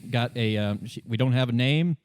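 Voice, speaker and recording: A man playing a tired, dry police detective in a tabletop game, speaking in a halting, deadpan way. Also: mid-range voice, slight background noise, close to an everyday voice. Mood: weary, matter-of-fact.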